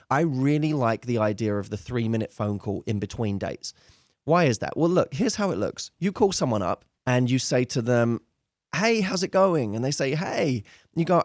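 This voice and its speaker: A man's voice: mid-range voice, animated, moderate pace, dry recording, studio quality. A man working as a dating coach, giving conversational advice straight to viewers in an emphatic way, slipping into acted-out example dialogue. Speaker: dating coach and show host.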